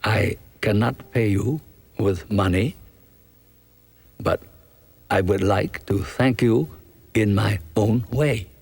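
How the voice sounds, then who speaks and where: A man voicing a gentle, grateful mysterious stranger in a storybook, speaking courteously. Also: on the low side, takes it slowly, creaky, pauses often, breathy edge, exaggerated voice. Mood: gentle gratitude. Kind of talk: storybook reading.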